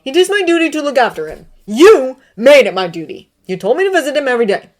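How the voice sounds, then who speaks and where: A woman's voice: lowish voice, expressive, slow. A woman voicing an anguished, bitter grown man, a brooding teacher, with intense pleading outbursts.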